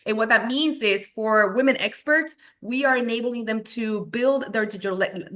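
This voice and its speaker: Woman, from Venezuela, forties, guest founder, crisp bright tone, animated storyteller. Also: on the high side, moderate pace. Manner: animated, acts out her own past thoughts. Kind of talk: conversational interview answers.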